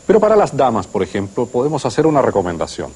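Chilean male broadcast instructor, rough husky tone, lively pitch movement. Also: fast.

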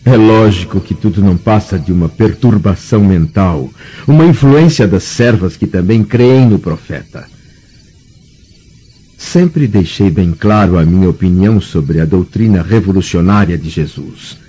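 An actor with a Brazilian accent playing a troubled, proud middle-aged Roman nobleman in a radio drama, formal and anguished, with a husky voice. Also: low-pitched, expressive, moderate pace, dark tone. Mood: grave, indignant. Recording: dull, muffled radio drama recording.

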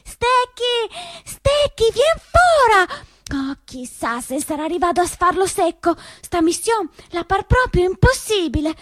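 Woman playing an excitable, high-voiced young partisan girl. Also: no echo, clean studio sound, heavily acted. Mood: urgent, plotting. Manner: exclamatory, hurried asides and nervous fibbing.

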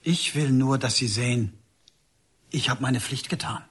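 A man's voice: mid-range voice, unhurried, a bit bright, breathy edge. An actor playing a stubborn, dutiful rural policeman in a German radio drama, terse and stiff. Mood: dutiful, defensive.

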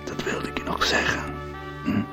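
An actor in a radio soap playing a weary, dying older writer, exhausted and breathy, speaking tenderly as a father. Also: normal speed, over loud music, natural voice. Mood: fading, tender. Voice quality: breathy, raspy whisper breaking into voice. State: exhausted, weakened, whispery.

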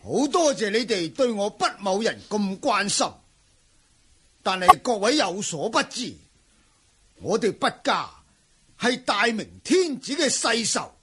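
A man's voice: high-pitched, big pitch swings, unhurried, fairly bright tone, a little hiss or hum, exaggerated voice. A male actor playing a proud, troubled martial-arts manor master in a Cantonese wuxia radio drama, with emphatic, sighing delivery. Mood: solemn.

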